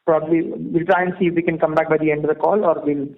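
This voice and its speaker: A man answering questions as an executive on an earnings call, in a measured, halting way with hedging phrases, over a phone line. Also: on the high side, level tone, gravelly. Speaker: company executive answering analysts. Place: conference call. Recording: narrow tinny phone-line audio.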